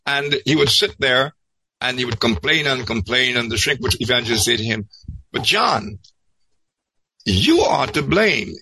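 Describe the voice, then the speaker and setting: A man telling an anecdote in an interview, halting and repeating words as he searches, then landing them emphatically. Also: medium pitch, lively intonation, pushed and bright, husky, no echo, rough recording. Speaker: podcast guest.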